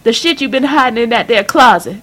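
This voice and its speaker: A woman voicing an enraged, cursing middle-aged mother who shouts through tears. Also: fairly high pitch, animated, fairly quick, pitched above the performer's own voice. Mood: furious.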